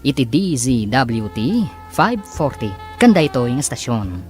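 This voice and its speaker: A man's voice: mid-range voice, very animated, unhurried. A man with a Filipino accent announcing and narrating a radio drama in a dramatic scripted style. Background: music behind the voice.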